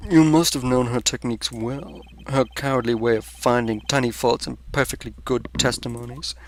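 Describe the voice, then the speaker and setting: A man voicing a smug, sneering male prosecutor in a video game, deliberate and haughty. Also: mid-range voice, fairly even intonation, a little hiss or hum, natural voice, rough recording. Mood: smug, taunting. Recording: music under the voice.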